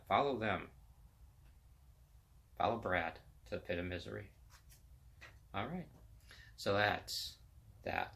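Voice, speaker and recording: Upbeat gravelly man in his fifties. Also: on the low side, fairly bright tone, a little hiss or hum. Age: 50s. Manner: enthusiastic, upbeat, motivational. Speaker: vlog host. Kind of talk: casual sports and fitness chat.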